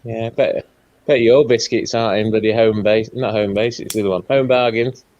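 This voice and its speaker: A man's voice: fairly low pitch, steady tone, moderate pace, a little mellow, highs cut off. A man chatting on a live stream in a dry, self-mocking way, with lots of yeahs. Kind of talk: casual chat on a live stream.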